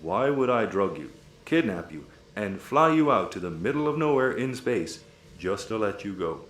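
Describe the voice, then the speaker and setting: Deep, smug crime boss. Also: male voice, very animated, normal speed, fairly bright tone. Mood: mocking. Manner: mock-polite, sardonic, wordy. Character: smug, theatrical male crime boss and casino owner. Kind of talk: sci-fi audio comedy acting. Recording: echoey room, faint background noise.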